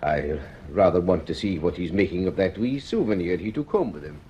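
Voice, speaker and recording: An actor playing a keen middle-aged astronomer in a vintage radio drama, reasoning emphatically step by step. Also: male voice, sits low, expressive, fairly quick, dark tone, dull audio, a little hiss or hum. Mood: urgent, decisive. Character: keen senior male Scottish astronomer, thoughtful and decisive.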